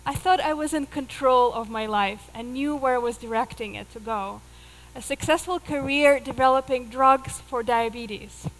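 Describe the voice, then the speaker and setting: A woman's voice: high-pitched, moderate pace, big-room sound, rough recording. A woman in her thirties from Russia with a Russian accent, telling a personal story in an earnest way as a speaker on a theater stage, miked with a headset. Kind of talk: live stage talk.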